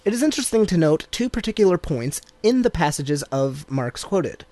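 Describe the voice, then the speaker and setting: A man reading a political text aloud as an audiobook, in a measured, deliberate cadence. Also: a little high, big pitch swings, mellow, no room sound. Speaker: audiobook reader.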